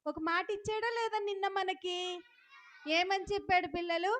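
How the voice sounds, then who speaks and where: A woman's voice: very high voice, fairly even intonation, moderate pace, a bit bright. A woman hosting a children's puppet show, talking playfully to the children and the puppet and often asking them questions. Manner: instructive, addresses children with questions.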